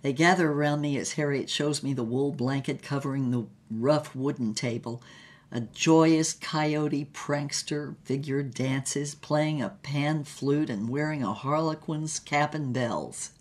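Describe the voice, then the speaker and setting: An actress playing a giddy, dreamy woman adventurer, telling her psychedelic tale in dramatic, expressive first person. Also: sits low, unhurried. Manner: theatrical, expressive. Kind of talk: dramatic first-person narration with poetic recitation.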